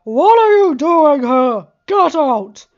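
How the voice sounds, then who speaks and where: A woman voicing a menacing male shadow king with a booming, commanding voice. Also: very animated, takes it slowly, exaggerated voice. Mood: threatening. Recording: slightly muffled with faint music.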